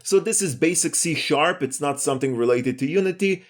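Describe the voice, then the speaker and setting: A man's voice: a little high, fairly quick. A man giving a step-by-step screencast programming lesson in a methodical, explanatory way. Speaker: programming tutorial instructor.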